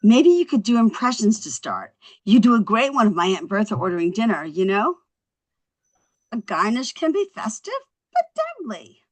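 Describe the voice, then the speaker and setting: A witty young married woman in a comedy-drama read. Her delivery is wry and theatrical, with very animated intonation. The sound is dry and close. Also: medium pitch, normal speed, no echo, low-quality recording. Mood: teasing. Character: quick-witted, perky young married woman with comic flair.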